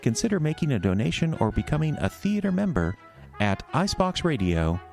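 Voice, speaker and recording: A man's voice: fairly high pitch, very animated, a little fast, vocal fry, a little mellow, soft background music. A man narrating an audio drama in a storytelling style, as its narrator and announcer.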